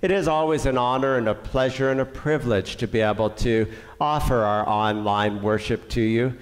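A man's voice: medium pitch, fairly even intonation. A middle-aged man with a Canadian accent, a pastor leading prayer and giving the benediction in a small church, speaking earnestly. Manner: slow, earnest, warm pastoral delivery. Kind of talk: church service closing and benediction. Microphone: far camera. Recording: reverberant church hall.

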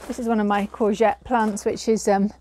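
A woman's voice: mid-range voice, level tone, normal speed, mellow, a little hiss or hum. Chatty woman touring her garden outdoors. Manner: chatty, hesitant, with frequent fillers. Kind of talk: casual walk-through garden tour. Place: outdoors in a home garden and greenhouse.

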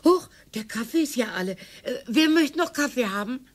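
An actress playing an exasperated older wife with a tart, complaining manner and a gravelly, husky voice. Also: female voice, high-pitched, lively intonation, moderate pace. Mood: hostess. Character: exasperated older wife Hilde, sharp-tongued. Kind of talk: radio play acting.

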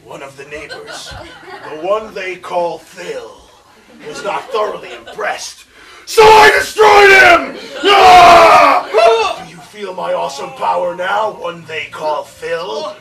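A man on stage playing a grandiose, violent pro wrestler who booms out pompous proclamations with dramatic pauses and yells in a broad comic style. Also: sing-song, gravelly, a loud crowd, exaggerated voice. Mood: boastful rage. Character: bombastic hulking male pro wrestler, grandiose, violent, childlike. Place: small theatre stage, indoors. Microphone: far camera in the audience. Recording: distant, echoey, noisy theatre recording with audience.

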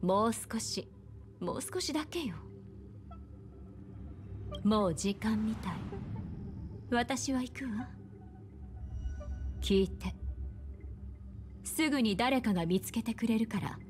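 A woman voicing a calm, enigmatic, seductive woman in an anime game, speaking slowly and soothingly with gentle command. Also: fairly high pitch. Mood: soft, parting. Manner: slow, deliberate, soothing. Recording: background music.

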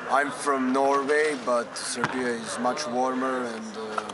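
A young man in a casual street interview, hesitating and filling pauses as he jokes around. He speaks slowly at a middle pitch. Heavy city noise from a camera mic surrounds him. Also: level tone. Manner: halting, joking, many fillers.

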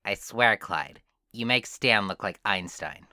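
Blunt, sardonic teenage boy for cartoon dub, woman performer: very deep, hard-edged, unimpressed deadpan. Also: measured pace, no echo, studio quality, soft background music, heavily acted. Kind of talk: amateur cartoon comic dub.

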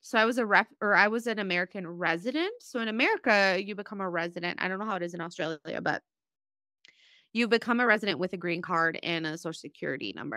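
Podcast guest: woman in her 30s, Bosnian-born, earnest personal storyteller, bright and clear. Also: medium pitch, dry recording, studio quality. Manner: earnest, rambling, emotional storytelling. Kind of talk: candid personal conversation.

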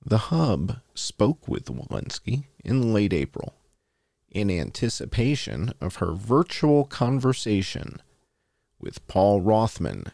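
A man with a very low voice reads an article aloud as a host. His voice has a gravelly creak and moves through lively rises and falls. The sound is dry and close. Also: slow, no echo. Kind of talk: read-aloud article narration.

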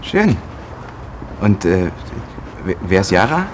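A man in his twenties playing a friendly, easygoing German flatmate, speaking relaxed with hesitant fillers. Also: low-pitched, slow, background noise, exaggerated voice. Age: 20s. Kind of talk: scripted German-learning drama.

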